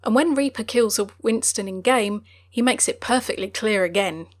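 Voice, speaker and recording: A woman's voice: mid-range voice, normal speed. A woman narrating game character lore in a chatty, speculative scripted style with light jokes. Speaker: video narrator.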